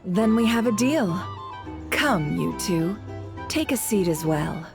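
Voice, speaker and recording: A woman playing a shrewd, teasing creditor and intel dealer in a video game, sly and coolly unhurried. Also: mid-range voice, lively intonation, creaky, over music. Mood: sly, businesslike.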